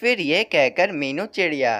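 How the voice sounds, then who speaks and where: A woman narrating a children's cartoon story in a plain, steady manner. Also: low-pitched, normal speed.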